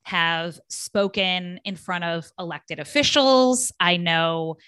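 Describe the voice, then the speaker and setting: A woman's voice: on the low side, takes it slowly, pushed and bright, clean tone, no echo. A woman hosting a podcast interview, chatty and casual with lots of filler words. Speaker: podcast host.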